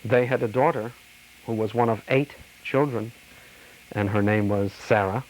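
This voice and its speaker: Older man, slightly low voice, measured reminiscence, factual, faint background noise. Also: steady tone, low-quality recording. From Slovakia. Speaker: interviewee. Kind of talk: personal oral-history reminiscence.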